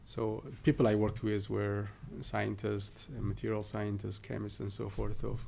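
A man from southern Ethiopia, a guest in a podcast interview, talking casually with many pauses and fillers. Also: on the low side, completely flat delivery, vocal fry, warm tone, a little hiss or hum. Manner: hesitant, many fillers and restarts.

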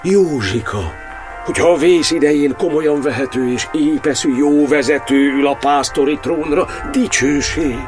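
Casting: male actor doing worried elderly mother, pleading and lifted in pitch, radio drama with music behind. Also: a little mellow, lightly acted. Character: anxious, loving elderly mother of a priest.

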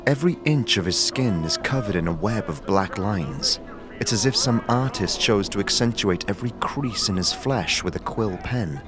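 A man with a very deep voice reads scripted narration in a steady, descriptive way. Faint music plays quietly beneath him. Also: normal speed. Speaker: narrator and producer.

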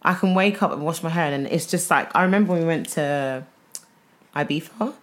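A young British woman co-hosting a podcast in casual, unfiltered chat, expressive and slangy. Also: low voice, a little fast. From United Kingdom. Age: young adult. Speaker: podcast co-host.

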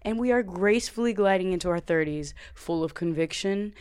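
A woman's voice: fairly low pitch, fairly quick, darkish tone, clear, resonant tone, dry recording, studio quality. Sassy woman podcast host in her thirties. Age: 30s. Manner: sassy and playful, slangy asides. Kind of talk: podcast intro and interview.

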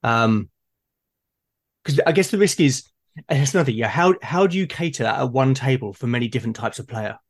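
A man's voice: medium pitch, animated, fairly quick, breathy edge. A man talking casually in a discussion, tentative and often repeating his words as he works out a thought. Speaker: podcast guest. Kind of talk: casual conversation about tabletop gaming.

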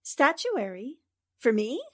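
High, animated heroine played by a woman. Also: slow pace, heavily acted. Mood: bright, courteous. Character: plucky, suspicious female newspaper columnist. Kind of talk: 1940s radio drama acting.

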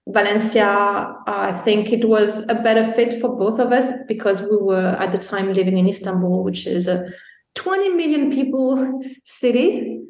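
A woman's voice: medium pitch, normal speed, a little mellow. A woman from Belgium, a guest on a podcast, telling her story in a chatty way with many ums. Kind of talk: casual interview talk. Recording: roomy echo.

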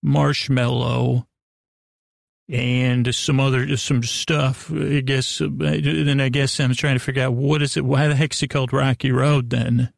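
A male podcast host with a creaky, gravelly voice at a medium pitch, recorded dry and close. He improvises a silly monologue, wandering off, stumbling over words and restarting, all with mock sincerity. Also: little pitch movement, no room sound. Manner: meandering, halting, stammering, trails off and restarts; silly, absurd, mock-earnest. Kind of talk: rambling improvised monologue addressed to an imaginary guest.

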